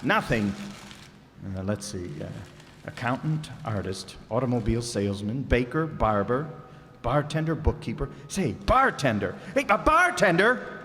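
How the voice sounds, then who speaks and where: A man's voice: medium pitch, very animated, a little slow, bright, forceful tone, slight background noise. A man voicing a harried, put-upon salesman husband who grumbles and wisecracks in a live radio play. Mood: flustered. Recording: live stage with hall reverb.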